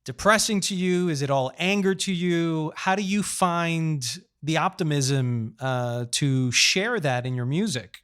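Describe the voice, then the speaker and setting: Higher-voiced male interviewer. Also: unhurried, hardly any pauses, a bit bright, clear, resonant tone. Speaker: interviewer, host. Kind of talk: interview questions.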